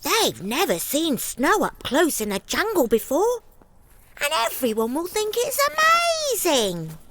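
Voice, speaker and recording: Storybook monkey played by a woman: very high, squeaky, childlike, mischievous, swooping delivery at an unhurried pace. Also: huge pitch swings, fairly bright tone, a little hiss or hum, full character voice. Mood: musing. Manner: squeaky, childlike, hesitant boasting. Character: cheeky mischievous young male monkey, self-justifying. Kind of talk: storybook character voice.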